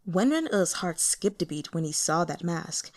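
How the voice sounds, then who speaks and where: A woman's voice: lowish voice, big pitch swings, a little slow. A woman reading fiction aloud as an audiobook narrator in a scripted storytelling style.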